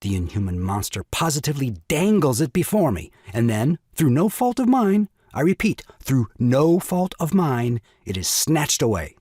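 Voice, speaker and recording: Pompous young gentleman of farce, swooping emphatic delivery, slightly husky, dry recording. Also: medium pitch, big pitch swings, moderate pace, few pauses, dry recording. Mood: indignant. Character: indignant young father, pompous lawyer scheming for money. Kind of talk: stage farce audio drama acting.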